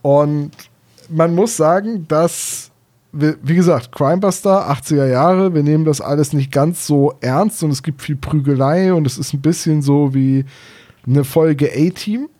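A man with a slightly high but soft, mellow voice co-hosts a relaxed talk. He explains things chattily and casually, with a playful streak. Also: a little slow. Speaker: podcast co-host. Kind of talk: casual discussion of audio dramas and books.

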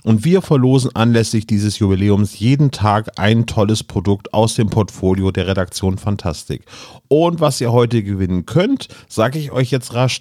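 A man's voice: fairly low pitch, a little mellow, no echo, clean studio sound. A man hosting a podcast in a casual, chatty style. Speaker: podcast host. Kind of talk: casual podcast chat and announcements.

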